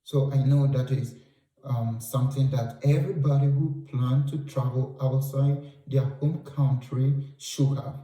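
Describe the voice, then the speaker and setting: A Ghanaian man talks through practical advice, often repeating his key points for emphasis. His voice is very dark and mellow, and it sounds distant from the mic in a roomy space. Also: mid-range voice, steady tone, a little slow. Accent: Ghanaian English. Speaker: vlogger giving travel advice. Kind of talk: advice talk to camera.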